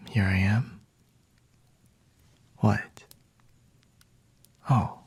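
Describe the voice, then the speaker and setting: Male actor as aloof, seductive vampire for ASMR roleplay: very breathy, unhurried, dry close-mic whisper, intimate and teasing. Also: lowish voice, no room sound, full character voice. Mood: aloof, smug. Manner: slow, hushed, haughty and seductive, with lingering pauses. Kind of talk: ASMR roleplay, scripted monologue to the listener. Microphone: close-up microphone.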